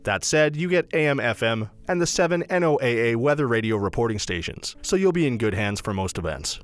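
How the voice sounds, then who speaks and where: A man reading a scripted product review as a voice-over narrator, in a brisk, even read-aloud delivery. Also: mid-range voice, no room sound, faint music behind.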